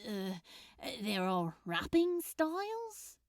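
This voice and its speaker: A woman's voice: mid-range voice, huge pitch swings, heavily acted. A woman voicing an awkward, smitten man with dry humour and a hesitant delivery in a fan fiction audiobook. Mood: flustered. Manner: hesitant, halting.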